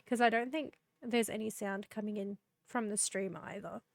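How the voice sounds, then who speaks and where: A woman's voice: medium pitch, steady tone, moderate pace, no echo. A woman streamer reading game dialogue aloud while playing, a bit sniffly from a cold, talking into a headset. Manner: chatty, reads quest text in character.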